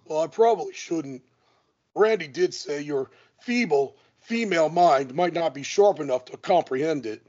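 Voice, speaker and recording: A man's voice: a little high, moderate pace, a little mellow, close to an everyday voice. A man playing a self-important, condescending, scheming pro wrestler in a comedic audio play. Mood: smug. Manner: smug, condescending. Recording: dull lo-fi audio.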